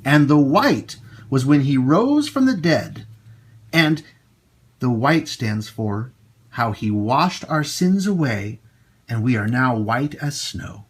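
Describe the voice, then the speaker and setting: A man hosting storytime for children, reading and talking to them in an expressive, emphatic way. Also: medium pitch, breathy edge. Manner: animated, slow, emphatic delivery for young children. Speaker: storytime host and children's teacher.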